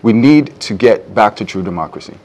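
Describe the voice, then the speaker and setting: A man's voice: on the low side, lively intonation, brisk, darkish tone. A young man, a political candidate answering earnestly in a studio debate, sometimes stumbling over words. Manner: earnest, some stammering restarts. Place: television studio.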